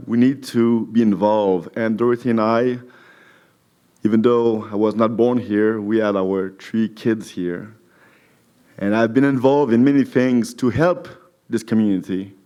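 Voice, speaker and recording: A middle-aged man whose first language is French, giving an earnest, slightly halting candidate speech in his second language. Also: medium pitch, a little mellow. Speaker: council candidate.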